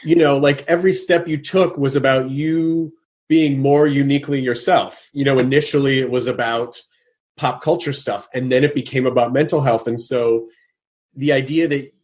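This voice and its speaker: A middle-aged man hosting a casual interview, thinking aloud with many restarts and growing animated when he acts out what someone might say. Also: medium pitch, moderate pace. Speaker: podcast host.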